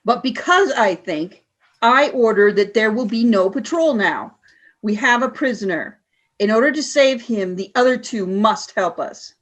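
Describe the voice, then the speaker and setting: A woman's voice: fairly high pitch, animated, a bit bright. Woman acting an imperious, cold alien warrior commander. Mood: stern, scornful. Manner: commanding, deliberate, scornful. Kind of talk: audio drama radio play acting.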